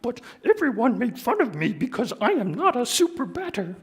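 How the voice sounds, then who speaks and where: Man doing a small furry monster voice, squeaky, jumpy, storybook reading. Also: huge pitch swings, moderate pace, slightly rough, heavily acted. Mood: earnest. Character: small furry blue male monster, excitable.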